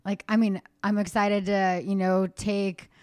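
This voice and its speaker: A woman's voice: medium pitch, flat delivery, unhurried, darkish tone, smooth, no room sound. A pregnant woman hosting a podcast, chatting candidly as she answers fan questions. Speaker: podcast host. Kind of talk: casual chat.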